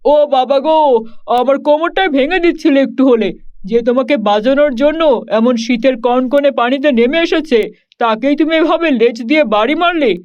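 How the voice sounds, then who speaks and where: A man's voice: extremely high pitch, normal speed, darkish tone, highs cut off. A man playing a good-hearted, boastful young cowherd in a children's cartoon, grumbling and exclaiming. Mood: pained, indignant. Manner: grumbling, exclaiming, self-important.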